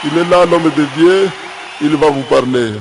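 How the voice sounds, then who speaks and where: A man's voice: fairly high pitch, normal speed, a crowd in the background, background noise, natural voice. A man playing a fervent, reverent host pastor in a comedy sketch, introducing a guest with solemn, exhortatory phrases. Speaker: pastor presenting a guest preacher. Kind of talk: comic church introduction.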